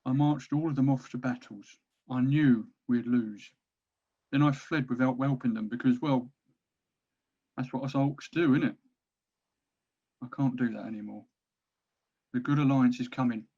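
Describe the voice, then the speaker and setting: Casting: male actor as tired orc captain, mid-pitched, rallying his band in a fantasy comedy; lo-fi sound. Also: normal speed, pauses often, dark tone, lightly acted. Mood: grave, resolute. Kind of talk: fantasy comedy table read.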